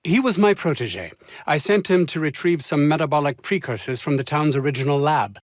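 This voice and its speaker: An actor playing a haughty, anxious, downtrodden scientist in a video game, husky and speaking in formal, wordy, theatrical phrases. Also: male voice, a little high, expressive, fairly quick, dry recording, clean studio sound, lightly acted. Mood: distressed, weary. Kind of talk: video game character dialogue.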